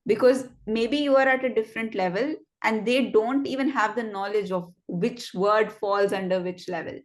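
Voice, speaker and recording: Chatty young woman giving friendly advice. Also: mid-range voice. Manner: chatty, encouraging, quick asides with fillers. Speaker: host of a student network session. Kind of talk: interactive online session, casual advice.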